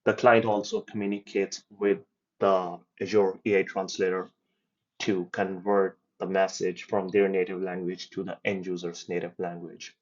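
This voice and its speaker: A man explaining and demoing his software project in a casual walkthrough, hesitating with ums and restarts as he goes. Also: deep voice, level tone, moderate pace, dull audio. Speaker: developer giving a project demo. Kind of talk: casual screen-share demo walkthrough.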